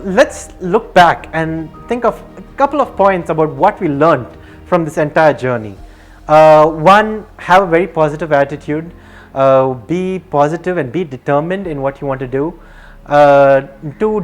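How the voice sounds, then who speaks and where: Rambling, hesitant casual storyteller. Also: medium pitch. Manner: rambling, hesitant, repeats itself. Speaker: video narrator.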